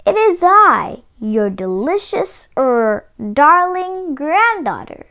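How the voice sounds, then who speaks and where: A woman voicing a sly, greedy male wolf for a storybook, with suave, wheedling, genteel manners and drawn-out words. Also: high voice, sing-song, pushed and bright, pitched above the performer's own voice, exaggerated voice. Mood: falsely sweet. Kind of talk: storybook read-aloud character voice.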